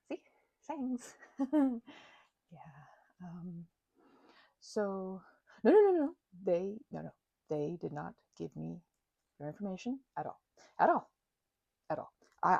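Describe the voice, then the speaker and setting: Woman acting a timid, stammering, husky vampire. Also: on the low side, dark tone, dry recording, exaggerated voice. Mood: flustered, reassuring. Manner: stammering, halting, nervous laughter, rambling apologies. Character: timid, apologetic, grateful female vampire, awkward and nervous. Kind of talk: audio roleplay addressed to the listener.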